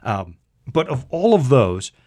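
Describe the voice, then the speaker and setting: A middle-aged man from Canada co-hosting a Bible program, teaching in a conversational, animated way and often correcting himself mid-sentence. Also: medium pitch, a little slow. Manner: animated, self-deprecating, restarts and corrects himself. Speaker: radio Bible show co-host.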